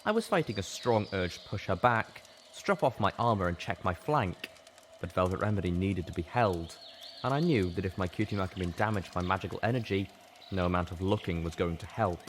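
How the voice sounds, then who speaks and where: Male audiobook narrator, lowish voice, dramatic first-person fiction, some background noise and ambience. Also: lively intonation, a little fast. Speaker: story reader.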